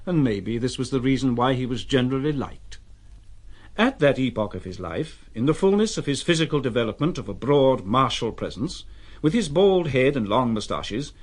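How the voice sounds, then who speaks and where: A man narrating a literary audio drama as a scripted storyteller. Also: medium pitch.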